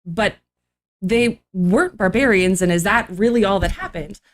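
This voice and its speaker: A woman's voice: medium pitch, normal speed. A woman guest talking informally on a podcast, explaining with rhetorical questions. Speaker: guest, archaeology graduate. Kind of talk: casual podcast conversation.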